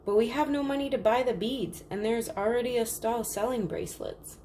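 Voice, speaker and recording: A woman reading a storybook, voicing a practical, caring older sister, a girl who explains things calmly. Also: on the high side, a little fast, pitched above the performer's own voice, natural voice. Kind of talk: storybook character dialogue. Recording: clearly noisy background.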